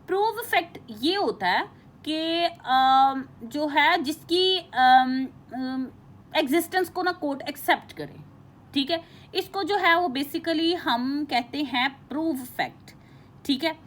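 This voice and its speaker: A woman teacher giving an informal lecture, explaining and reading out definitions in a halting, repetitive way with frequent check-in tags. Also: high voice, lively intonation, a little hiss or hum.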